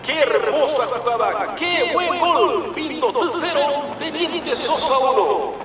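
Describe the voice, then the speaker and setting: High-pitched excited match announcer, echoey distant stadium sound, noisy, radio play. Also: male voice, full character voice. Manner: rapid, shouting goal calls. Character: excited male football radio commentator, adult. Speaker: match commentator. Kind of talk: radio drama sports commentary.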